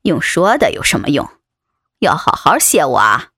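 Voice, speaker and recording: A woman voicing a domineering, taunting male vice president in an adult audiobook, with a husky, creaky voice and a mocking, commanding manner. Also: deep voice, big pitch swings, normal speed, a bit bright, dry recording, clean studio sound, pitched below the performer's own voice, heavily acted. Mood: smug, demanding. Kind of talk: dramatized adult audiobook dialogue.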